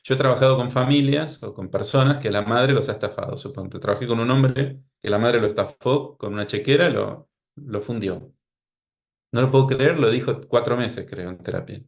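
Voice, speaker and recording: A man's voice: mid-range voice, moderate pace, creaky. A man with a Rioplatense Spanish accent, speaking as a psychologist guest in a podcast interview, with a wry, anecdotal way of talking.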